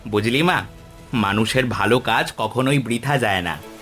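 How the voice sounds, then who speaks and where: A man voicing a humble, kindly village farmer in an animated moral story, respectful and earnest. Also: medium pitch, measured pace, music in the background, close to an everyday voice. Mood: tender, wise.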